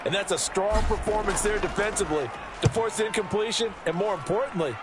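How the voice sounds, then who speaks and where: A man's voice: high-pitched, a little fast, heavy background noise, natural voice. A man playing an American color commentator in a football video game, explaining in an emphatic, conversational way like an enthusiastic coach. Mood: engaged, analytical.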